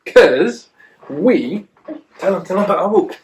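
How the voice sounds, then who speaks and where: A man's voice: sits high, animated, measured pace, a little mellow. A man reading a children's storybook aloud, with chatty asides to a child. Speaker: children and youth worker, storyteller.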